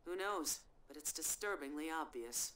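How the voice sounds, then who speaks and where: Low, expressive, shrewd woman. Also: normal speed. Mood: cool, analytical. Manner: matter-of-fact, wry. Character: cool, shrewd, blunt female investigator. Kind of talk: English-dubbed video game acting.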